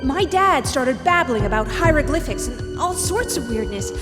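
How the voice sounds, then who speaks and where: A woman's voice: sits high, animated, normal speed. A woman playing a determined, defiant young bounty hunter in a video game cutscene. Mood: tense. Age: young adult. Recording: music behind, long hall reverb.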